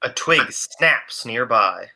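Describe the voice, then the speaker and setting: Bright, forceful young male narrator. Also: medium pitch, animated, slow pace, vocal fry. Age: young adult. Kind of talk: scripted dramatic radio-play narration. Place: room at home, video call.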